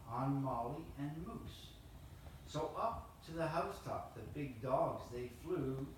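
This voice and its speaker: A man reading a rhyming holiday poem aloud in a steady, measured way. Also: medium pitch, slight background noise. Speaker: storyteller reading a Christmas poem. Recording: roomy, distant mic, faint ambience.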